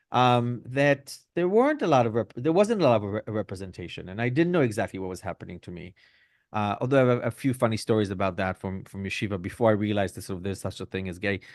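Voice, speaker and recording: A middle-aged rabbi from Belgium and Israel answering interview questions conversationally, hedging with lots of fillers and tag questions. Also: male voice, mid-range voice, dry recording, studio quality. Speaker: rabbi, podcast guest.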